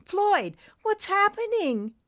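A woman's voice: very high-pitched, sing-song, slow pace, pitched above the performer's own voice, full character voice. A woman voicing a small, innocent, loyal male creature who speaks earnestly and pleadingly in an audiobook story. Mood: worried.

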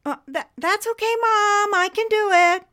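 A woman's voice: extremely high pitch, normal speed, pitched above the performer's own voice. A woman reading a storybook voices a young girl who talks urgently and insistently. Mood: nervous. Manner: urgent, excited, pleading.

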